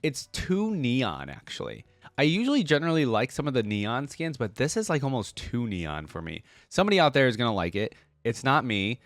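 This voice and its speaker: A man's voice: mid-range voice, very animated, fairly quick, dry recording. A man hosting a reaction video, talking casually and off the cuff in informal, slangy language as he thinks aloud.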